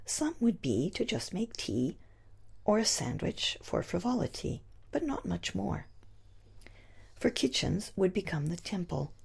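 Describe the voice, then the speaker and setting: Husky, low-voiced woman reciting poetry. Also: darkish tone. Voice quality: husky, breathy. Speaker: poet. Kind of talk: reciting own rhyming poem.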